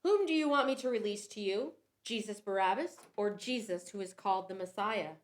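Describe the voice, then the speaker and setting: A woman's voice: mid-range voice, brisk, pitched above the performer's own voice, close to an everyday voice. A woman reading the part of a Roman governor, a puzzled man questioning a prisoner with plain emphasis.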